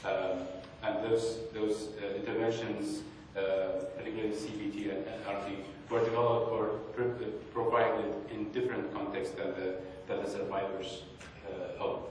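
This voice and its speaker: A young man giving an academic presentation, speaking hesitantly with many pauses and restarts. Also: on the low side, level tone, a little hiss or hum. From Kurdistan, northern Iraq. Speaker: conference speaker. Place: large conference hall. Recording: echoey hall, distant mic.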